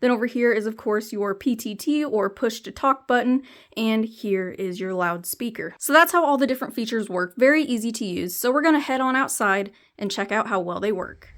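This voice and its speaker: A woman's voice: on the high side, brisk, hardly any pauses, fairly bright tone. A woman reviewing a product, explaining its features plainly, step by step. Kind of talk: explanatory product demo narration.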